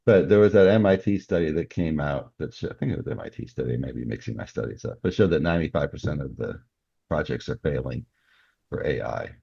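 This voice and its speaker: Male interview guest, extremely deep voice, casual and explanatory delivery, slightly muffled lo-fi audio. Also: expressive, a little fast, vocal fry, mellow, breathy edge, dry recording. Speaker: guest, author and cybersecurity professional. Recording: slightly dull, lo-fi sound.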